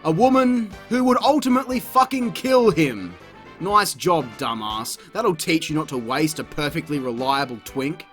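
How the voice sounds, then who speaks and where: A male video reviewer delivers exasperated, sarcastic commentary with plenty of swearing. His pitch jumps around in a very animated way, and faint music sits behind the voice-over. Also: moderate pace.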